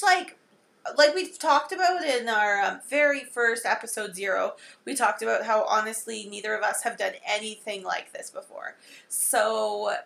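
Bright, gravelly-voiced Canadian woman. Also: a little high. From Saskatchewan, Canada. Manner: upbeat, agreeable, frequent affirmations. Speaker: podcast co-host. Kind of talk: casual chat.

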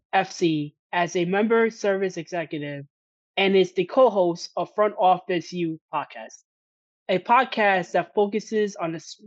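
A woman's voice: lowish voice, no room sound. A woman hosting a sports podcast in a conversational interview style. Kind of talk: conversational interview with scripted introduction. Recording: muffled, slightly lo-fi audio.

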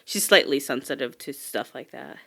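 Bright-voiced chatty young woman. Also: extremely low pitch, normal speed, gravelly. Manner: chatty, animated storytelling with frequent laughs and swearing. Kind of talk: casual chat.

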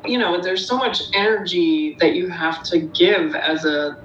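A woman's voice: measured pace, dull audio. A woman, the front woman of a band, speaking candidly in a casual interview chat. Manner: relaxed, candid storytelling. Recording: roomy, distant remote mic with faint rumble.

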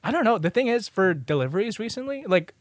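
Fast-talking young man, casual podcast chat. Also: sits high, sing-song, no room sound, studio quality. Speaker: podcast host. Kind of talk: casual chat, slangy storytelling.

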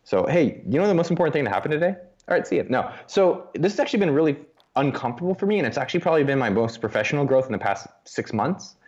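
A young man chatting casually as a podcast guest, animated and self-mocking, swearing freely. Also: on the high side, very fast. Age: young adult. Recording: dull lo-fi audio.

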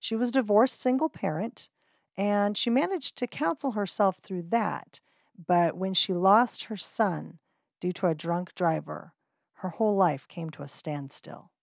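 A woman's voice: medium pitch, lively intonation, unhurried, creaky, no room sound, clean studio sound. A woman hosting a podcast, introducing guest clips in an upbeat, friendly way with casual "um"s. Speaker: podcast host.

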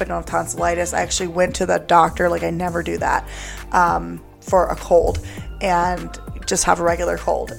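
A young woman hosting a podcast, talking casually and rambling, her voice raspy and smoky from a cold that has left her throat sore. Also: lowish voice. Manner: chatty, rambling, lots of "like" and "um". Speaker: podcast host. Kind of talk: casual solo podcast talk.

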